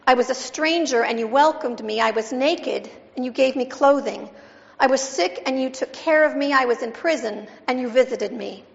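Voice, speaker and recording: A woman pastor reading scripture and giving a sermon, measured and emphatic, asking the congregation questions. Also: high-pitched, gravelly. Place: church sanctuary. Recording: dull sound with long church reverb.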